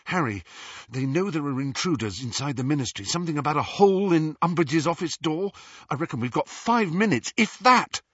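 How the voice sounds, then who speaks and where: A man's voice: fairly high pitch, animated, brisk, husky, no echo, far from the mic, muffled recording, pitched above the performer's own voice. A man playing a blunt young male wizard in an audiobook, speaking in an urgent mutter. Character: urgent teenage boy hero's friend, blunt young wizard. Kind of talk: acted audiobook dialogue.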